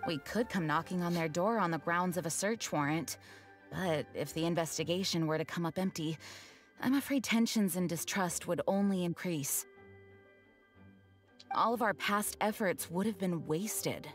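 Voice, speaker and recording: A woman playing a dutiful, diplomatic knight commander in a fantasy game, speaking formally and earnestly in measured phrases. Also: fairly low pitch, lightly acted. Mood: composed, earnest. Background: game music.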